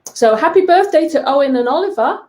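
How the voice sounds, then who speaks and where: A woman's voice: high-pitched, animated, brisk, darkish tone. An older woman with an English accent, chatting playfully as a guest over a video call from her sewing room. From England. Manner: playful, self-mocking. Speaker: guest quilting teacher. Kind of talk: casual chat.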